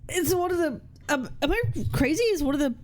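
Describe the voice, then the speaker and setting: A woman game streamer giving casual, excitable reaction commentary in informal, slangy speech. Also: sits high, a little fast. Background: faint game music.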